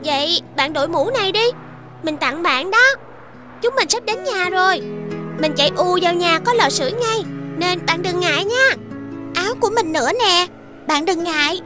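A sweet, very high-pitched young girl. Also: lively intonation, normal speed, over loud music. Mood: caring. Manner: sweet, polite, generous. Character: kind, cheerful young girl.

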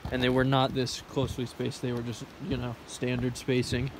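A man's voice: medium pitch, completely flat delivery, moderate pace. The guy filming himself on a forest trail, rambling casually into his phone at arm's length as he hikes, outdoor noise around him. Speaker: hiking vlogger. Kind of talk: casual rambling vlog commentary while walking. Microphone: phone camera at arm's length. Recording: noisy outdoor handheld recording.

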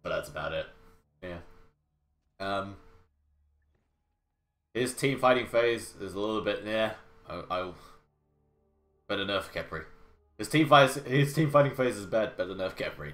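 A man's voice: lowish voice, lively intonation, measured pace, pauses often, a bit bright. A young man with a cold and a nasal, blocked-nose voice, giving casual, sarcastic game commentary at home. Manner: sarcastic, swears casually, trails off and restarts. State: sick with a cold. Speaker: gaming video host. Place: home room with wooden floors.

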